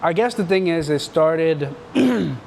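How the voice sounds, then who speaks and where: A man's voice: fairly high pitch, animated, unhurried, vocal fry, a little mellow, slight background noise, poor audio. Rambling, slangy young man. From Canada. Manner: rambling and slangy, full of filler words. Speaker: entrepreneur telling his story. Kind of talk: casual storytelling interview.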